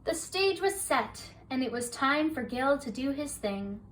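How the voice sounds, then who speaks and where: A woman reading a children's bedtime story aloud in a soft, rhythmic rhyming delivery. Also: high voice, animated, a little slow. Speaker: storybook reader. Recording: distant mic, faint hiss.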